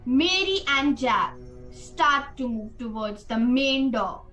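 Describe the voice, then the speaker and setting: A woman's voice: high-pitched, lively intonation, takes it slowly, bright, forceful tone, faint music behind, exaggerated voice. A woman narrating a spooky student radio play as a scripted storyteller.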